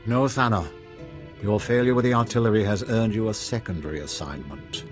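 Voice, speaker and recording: An actor playing a cold, commanding Sith lord in a video game, speaking with formal authority. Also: male voice, lowish voice, fairly quick, soft background music, lightly acted.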